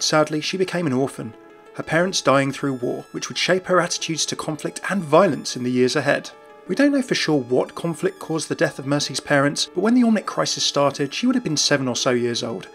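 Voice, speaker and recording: A man giving a measured, explanatory scripted narration of a video game character's backstory. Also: a little high, fairly bright tone.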